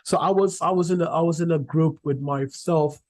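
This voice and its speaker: A young man from Cameroon answers interview questions in a relaxed, rambling way, often restarting his sentences. His voice sits on the high side for a man, and the recording is dry and close. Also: fairly quick, no echo. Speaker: Afrobeats artist, guest.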